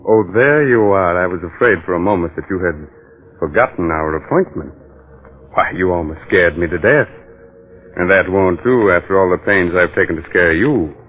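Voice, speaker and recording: An actor playing a sinister, teasing host of a spooky mystery show in 1940s radio style, with theatrical, eerie relish. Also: male voice, deep voice, animated, moderate pace, a little hiss or hum. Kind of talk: 1940s radio horror narration. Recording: muffled old-time radio recording with music.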